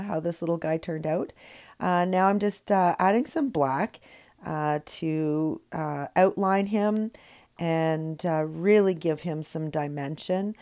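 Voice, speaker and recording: A low-voiced woman in middle age narrates her painting tutorial as a voiceover. Her tone is mellow and dark, and a cold she is getting over still shows in her voice. She talks in a loose, chatty way, full of ums and uhs. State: recovering from a cold. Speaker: rock painting tutorial host. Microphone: voiceover mic.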